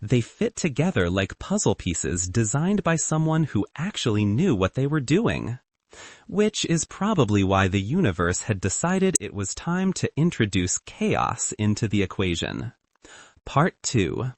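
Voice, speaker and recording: Casting: male audiobook narrator, husky edge, animated delivery of scripted fiction. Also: medium pitch, unhurried, no echo.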